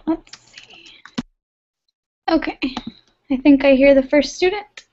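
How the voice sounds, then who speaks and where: A woman's voice: high voice, slow, mellow, slight background noise. A woman from Texas teaching an online English class, friendly and patient, encouraging her students over a video call. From Texas, United States. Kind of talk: online class small talk. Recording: muffled video-call audio.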